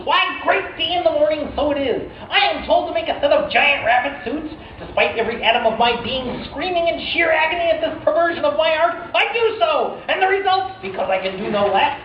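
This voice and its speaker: A melodramatic clockwork tailor machine wails about its wounded pride, voiced by a woman in an audio drama. The voice is high and theatrical. It sits back from the mic in a roomy, dull recording with faint hiss. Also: lively intonation, a little fast, hardly any pauses, recorded from a distance. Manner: theatrical, self-pitying, wailing.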